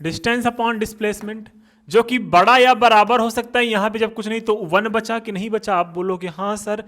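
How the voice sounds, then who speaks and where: A man's voice: high voice, fairly even intonation, unhurried, a bit bright. A male teacher giving a physics lesson for exam students, asking questions and answering them himself.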